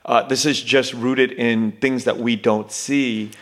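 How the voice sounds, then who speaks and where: A man's voice: mid-range voice, steady tone, takes it slowly, fairly bright tone. A man hosting a casual interview, rambling through his thoughts with many fillers and restarts. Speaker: podcast host.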